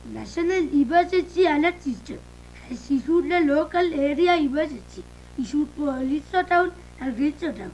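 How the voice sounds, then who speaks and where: A woman reading an amateur emergency announcement in a halting, hesitant way. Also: high-pitched, darkish tone, slight background noise, rough recording. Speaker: announcer in a fictional emergency broadcast. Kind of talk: amateur scripted public announcement.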